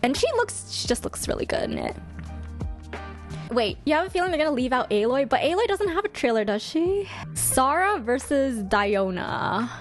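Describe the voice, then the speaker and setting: Woman, high voice, animated intonation, playful casual commentary, exclamatory, faint background music. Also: normal speed. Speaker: host ranking game trailers.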